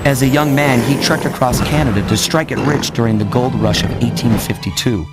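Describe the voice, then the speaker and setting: A man's voice: medium pitch, a little fast, close to an everyday voice. An actor playing a suave, wry young male thief, narrating his capers in a cartoon game. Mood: wry storytelling. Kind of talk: scripted narration. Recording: loud music behind narration.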